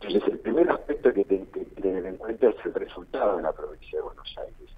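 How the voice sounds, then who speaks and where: A man's voice: low voice, animated, fairly quick, slightly rough. A man with an Argentine accent giving political analysis in a radio interview, repeating phrases for emphasis. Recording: narrow phone-like line, muffled.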